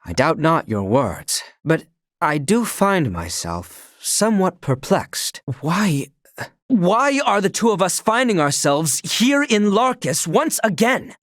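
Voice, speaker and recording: A man's voice: on the high side, no echo, studio quality. An actor playing a proud, loyal young male knight in a video game, speaking earnestly in formal, archaic courtly phrasing. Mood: deferential, perplexed.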